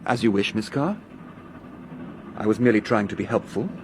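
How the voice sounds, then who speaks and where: An actor playing a polite, formal, patronising English professor who coaxes, in a British radio drama. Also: male voice, fairly low pitch, lively intonation, a little fast, lightly acted. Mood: affronted, formal. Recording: noisy train background ambience.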